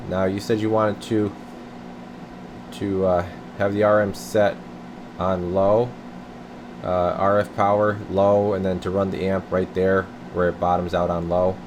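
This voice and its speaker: A man giving a casual hands-on radio repair demo, thinking aloud informally as he works. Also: lowish voice. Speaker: radio technician demonstrating a tuned-up CB radio. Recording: clear steady background noise, air conditioner hum.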